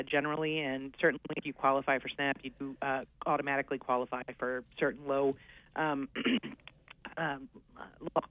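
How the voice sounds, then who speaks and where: A man being interviewed on radio, explaining in a hesitant, earnest way with many fillers and restarts. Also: mid-range voice. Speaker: guest coordinating aging services. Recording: dull lo-fi radio sound.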